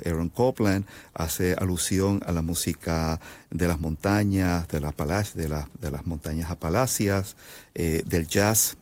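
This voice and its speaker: Man, very low voice, Puerto Rican accent, composer being interviewed, thoughtful halting explanations. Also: a little mellow. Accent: Puerto Rican Spanish. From Puerto Rico. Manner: explanatory, halting with fillers and restarts. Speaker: composer guest. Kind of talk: interview answers.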